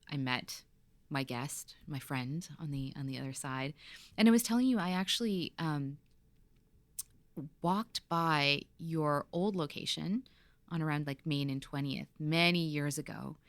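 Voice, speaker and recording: A woman's voice: low-pitched, a little slow, dry recording. A woman hosting a podcast interview in a studio, chatty and full of little fillers. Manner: warm, chatty, many fillers. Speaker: podcast host. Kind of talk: casual interview chat.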